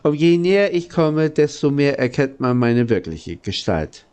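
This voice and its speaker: A man voicing a gentle, lonely old giant from a storybook, courteous and earnest, with halting phrasing. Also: a little high, sing-song, smooth. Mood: patient, explaining. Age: older.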